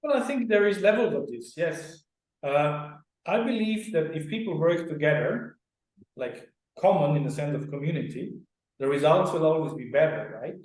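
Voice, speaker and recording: A man's voice: on the high side, lively intonation, normal speed, darkish tone. A middle-aged man from Austria, a guest on a radio interview, talking earnestly and at length, often checking in with "right?". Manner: earnest, explanatory, often tags sentences with "right?". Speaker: clinic director and co-founder. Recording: very echoey, distant sound.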